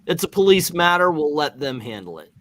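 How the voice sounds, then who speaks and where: A man's voice: fairly high pitch, sing-song, brisk, bright, forceful tone. A man doing a casual, jokey and hammy read-aloud of a comic book. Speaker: channel host reading comics aloud.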